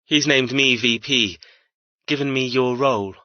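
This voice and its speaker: A man playing a cold, arrogant young heir in an audiobook, threatening and deliberate. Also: mid-range voice, bright, forceful tone, no echo, muffled recording. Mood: cold menace. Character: cold menacing heir, cruel and possessive brother. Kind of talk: dramatic audiobook character acting.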